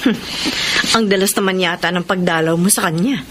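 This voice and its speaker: A woman's voice: on the low side, brisk, background noise, lightly acted. A woman playing a haughty, irritable, wealthy businesswoman mother in a radio drama, curt and scornful. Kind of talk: Tagalog radio drama acting.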